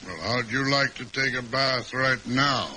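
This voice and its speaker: An actor playing a vain, folksy, scheming American governor in an old radio drama, with a pompous, hesitant way of talking. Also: male voice, mid-range voice, fairly even intonation, unhurried, pushed and bright. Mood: genial. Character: vain, self-satisfied American state governor, scheming politician. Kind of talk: 1950s radio drama acting. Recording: noisy vintage radio recording.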